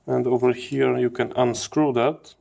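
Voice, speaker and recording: A man giving hands-on teardown commentary as a channel host, in halting phrases with repeated words. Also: medium pitch, little pitch movement, vocal fry, a little mellow. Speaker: host of a radio electronics channel.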